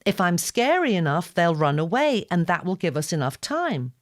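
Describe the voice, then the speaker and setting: A woman voicing a feisty, proud teenage gargoyle girl in a storybook reading, insistent and excitable. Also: fairly low pitch, moderate pace, no echo, clean studio sound, voice pushed up. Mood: fierce, urgent.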